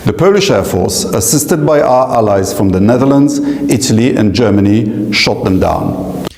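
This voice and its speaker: A man's voice: medium pitch, lively intonation, a little mellow. Formal man in an echoey hall. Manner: formal and measured. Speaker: official making a statement. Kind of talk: formal public statement. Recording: echoey hall, long reverb.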